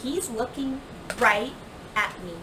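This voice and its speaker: A woman reading a children's picture book aloud like a teacher, with expressive, emphatic delivery that acts out the words. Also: a little high, takes it slowly. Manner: animated, emphatic delivery with acted-out dialogue and sound words. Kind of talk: expressive storybook read-aloud. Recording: roomy, distant microphone, clear background noise.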